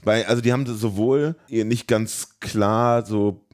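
Low-pitched man chatting casually. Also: steady tone, measured pace, darkish tone. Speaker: podcast host. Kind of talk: casual chat about a film.

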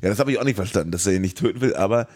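A man's voice: fairly low pitch, very fast, a little mellow. A man with a German accent co-hosting a casual film podcast in a jokey, sarcastic way. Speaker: podcast co-host.

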